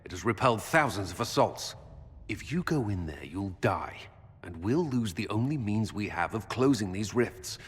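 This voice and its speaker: An actor playing a firm, blunt and cautious military commander with a husky voice in a fantasy video game. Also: male voice, lowish voice, very animated, normal speed. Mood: stern. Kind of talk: dramatic video game acting. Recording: long hall reverb.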